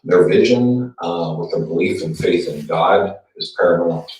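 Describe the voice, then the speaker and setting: A middle-aged Canadian man from Alberta giving an earnest campaign self-introduction, steady but halting with fillers. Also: deep voice. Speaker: party board candidate. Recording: echoey room, distant mic.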